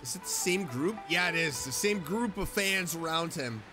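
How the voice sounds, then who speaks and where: A woman's voice: on the low side, expressive, moderate pace. A woman streaming a video game, giving casual reaction commentary as she plays. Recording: game music behind the voice. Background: game music.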